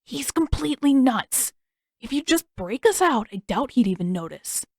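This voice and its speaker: A woman's voice: high voice, very animated, normal speed, a little mellow, slightly rough, no room sound, clean studio sound, pitched above the performer's own voice, exaggerated voice. A woman voicing a sarcastic, worried teenage brother who grumbles and complains. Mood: grumbling. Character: young teen boy, sarcastic worried brother.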